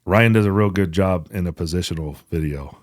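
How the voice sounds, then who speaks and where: A man's voice: sits low, a little fast, dry recording, clean studio sound. A man hosting a podcast, talking casually about gear in a blunt, joking, sweary way. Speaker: podcast host.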